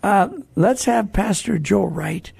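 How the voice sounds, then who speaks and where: A talk show host with a very raspy, gravelly voice, speaking off the cuff in a halting, deliberate, earnest way. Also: medium pitch, darkish tone, no echo. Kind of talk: unscripted talk show commentary.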